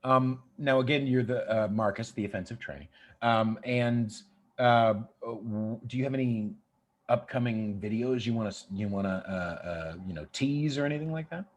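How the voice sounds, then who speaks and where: A man's voice: mid-range voice, normal speed. A man hosting a casual podcast interview, chatty, often laughing and swearing. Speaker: podcast host.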